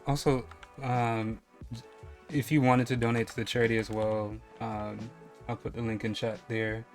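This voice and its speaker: A man's voice: medium pitch, little pitch movement, moderate pace. Young male streamer, from New York with a slight Caribbean accent, loose and rambling, faint music under him. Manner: rambling, chatty, lots of fillers. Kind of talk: casual live-stream chat. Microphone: headset.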